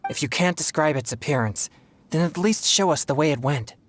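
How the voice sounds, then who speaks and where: Actor as a polite young exorcist, noisy backdrop. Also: male voice, fairly high pitch, expressive, natural voice. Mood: anxious, apologetic. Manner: formal, courteous, measured. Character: earnest, polite young exorcist man, self-controlled and sheltered. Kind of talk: video game voice acting.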